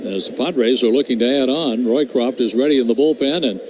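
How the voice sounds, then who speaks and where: A man doing radio play-by-play for a baseball game, reading stats and calls in a steady, measured way. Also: medium pitch. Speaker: play-by-play announcer. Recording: noisy broadcast audio.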